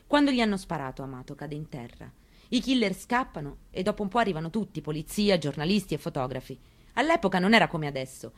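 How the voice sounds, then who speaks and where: An elderly grieving mother, played by an actress, speaks in a fairly low female voice. She is wistful and stubborn, confiding her memories in short, reflective phrases, as in an intimate radio drama monologue. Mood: moved, indignant.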